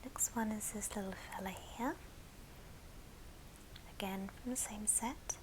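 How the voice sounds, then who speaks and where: A woman whispering breathily and gently in an ASMR show and tell, leaving pauses between short phrases. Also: mid-range voice, steady tone, background noise. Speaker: ASMR video host.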